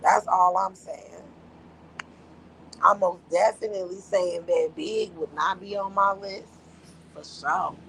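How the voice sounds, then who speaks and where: A woman's voice: on the low side, steady tone, takes it slowly, pauses often, fairly bright tone, a little hiss or hum, poor audio. A woman co-hosting a casual podcast chat, blunt and profane, losing her voice. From Las Vegas area. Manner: blunt, profane, emphatic storytelling. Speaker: podcast co-host.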